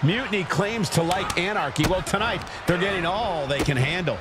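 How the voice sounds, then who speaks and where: A man's voice: sits high, moderate pace, heavy background noise, lightly acted. An actor playing an opinionated male commentator calling wrestling matches in a video game. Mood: excited. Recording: loud crowd and music behind.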